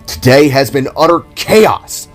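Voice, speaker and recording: An excitable young cop, as a man puts him on, pitched well above his normal voice and tumbling over his words. He sounds frantic and flustered and stammers as he loses his thread, all in a homemade fan audio drama. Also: unhurried, faint music behind.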